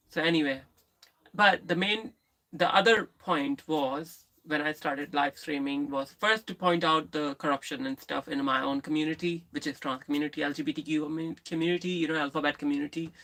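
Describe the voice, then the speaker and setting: A woman with a lisp who livestreams, chatting candidly and answering viewers in a rambling way with many restarts and pauses. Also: low voice, a bit bright, low-quality recording. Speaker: live streamer. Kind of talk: casual live stream chat, answering viewers.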